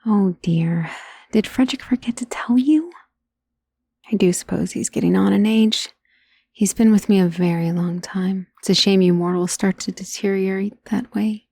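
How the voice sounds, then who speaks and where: A woman performs an ancient female vampire who dotes possessively on the listener in an ASMR roleplay. The voice is husky and breathy with a gravelly creak, often falling to a whisper. The delivery is genteel and gently teasing, recorded very dry and intimate. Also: medium pitch, no echo. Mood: genteel, welcoming. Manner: slow, tender, teasing, genteel endearments.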